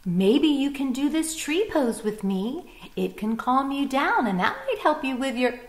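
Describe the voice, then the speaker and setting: A cheerful, gentle boy bunny from a storybook, voiced by a woman. His voice sits high and bright, kind and encouraging. It rings a little in a roomy space. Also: big pitch swings, a little slow.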